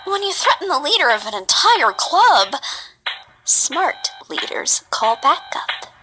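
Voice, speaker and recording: A woman voicing a small perky cartoon skeleton who is sweetly menacing, with a sugary, innocent, measured delivery. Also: high-pitched, big pitch swings, pushed and bright, soft background music, exaggerated voice. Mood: sweetly threatening. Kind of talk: comic dub voice acting.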